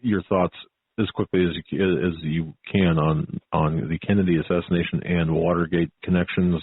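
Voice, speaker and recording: Low husky male host, phone-like sound. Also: steady tone, no echo. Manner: halting, repeats words. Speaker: radio show host. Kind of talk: talk radio interview. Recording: narrow-band phone-like audio.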